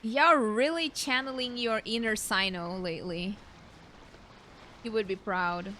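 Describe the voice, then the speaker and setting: Bright-voiced young woman gamer chatting. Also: mid-range voice, unhurried, a little hiss or hum. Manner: giggly, self-deprecating, thinking aloud. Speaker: streamer playing a game. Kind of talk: casual let's play commentary. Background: game music.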